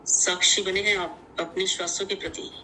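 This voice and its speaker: A woman leads a guided meditation in measured, deliberate, instructive short phrases. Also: fairly low pitch, fairly even intonation, pushed and bright, slight background noise, poor audio.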